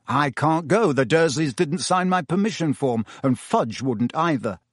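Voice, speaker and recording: A man's voice: on the high side, animated, a little slow, husky, no room sound, studio quality. A man voicing a heavy-hearted, bitter teenage boy in an audiobook. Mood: glum. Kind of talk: audiobook character voice.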